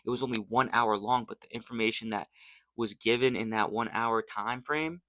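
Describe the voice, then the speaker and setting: A man's voice: medium pitch, fairly even intonation, moderate pace, fairly bright tone, no room sound, clean studio sound. A young American man giving casual vlog commentary in an earnest, rambling, slangy way. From United States. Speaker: YouTube host.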